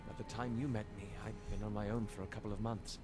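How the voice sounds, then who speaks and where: An actor playing an earnest, contrite former spy in a fantasy video game, speaking in a measured way. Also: male voice, lowish voice, steady tone, over loud music, close to an everyday voice. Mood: guarded, confessional.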